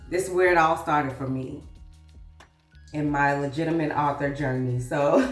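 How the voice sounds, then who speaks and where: Low-voiced woman, chatty and congested. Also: roomy sound, far from the mic. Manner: chatty, addresses viewer as girl. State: congested, sounds sick. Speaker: vlogger and author. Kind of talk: casual get-ready-with-me chat.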